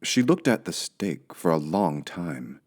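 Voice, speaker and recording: A man's voice: low voice, big pitch swings, measured pace, a little mellow. A man narrating a children's audiobook as a scripted storyteller.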